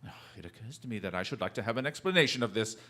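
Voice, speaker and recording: A man acts out a shrewd gentleman detective for a radio play. His speech is formal, precise and coolly polite, swinging through a wide, animated pitch range with an air of total command. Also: very fast. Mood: probing, dry. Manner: formal, precise, theatrical diction. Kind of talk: radio drama acting.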